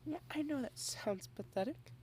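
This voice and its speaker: A young adult woman in a girlfriend ASMR roleplay, rambling shyly and softly, dropping into whispers at times. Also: high-pitched, lively intonation, normal speed, slight background noise. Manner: shy, rambling, soft-spoken with whispered asides.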